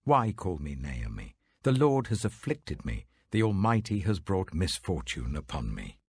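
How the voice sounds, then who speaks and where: A grieving older widow acted by a British man laments bitterly in a scripture audiobook. The voice is heavily husky and weary, yet emphatic, swinging widely in pitch. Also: sing-song, measured pace, a little mellow, no echo, heavily acted.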